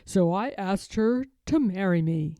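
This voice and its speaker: Woman voicing a slow, fond old grandfather bear. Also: medium pitch, expressive, warm tone, dry recording. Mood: fond, steady. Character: gentle old grandfather bear, loving and content. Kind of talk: children's storybook character voice.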